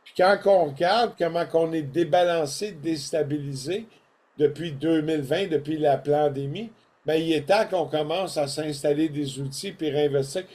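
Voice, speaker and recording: An older man with a Québécois French accent talks in a folksy, animated way, full of colloquial asides. His voice sits a bit high for a man. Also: normal speed. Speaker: co-host presenting products. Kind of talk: casual podcast chat.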